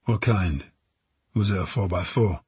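Audiobook police inspector read by a male narrator: calm, gentle, soft-spoken questioner with a very breathy, dry-recorded voice. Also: sits low, unhurried, no room sound, full character voice. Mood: soft, probing. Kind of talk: audiobook character voice.

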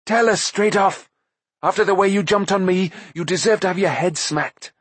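An impatient young man, as a male narrator puts him on, high and sharp with exasperation. The voice is slightly husky and recorded close and dry. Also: normal speed, dry recording. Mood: exasperated. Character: impatient young man, hot-tempered youth.

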